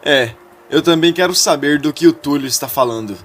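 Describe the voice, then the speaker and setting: A man's voice: fairly high pitch, brisk, a bit bright. A man voicing a stern older father who demands answers in a retold soap-opera story.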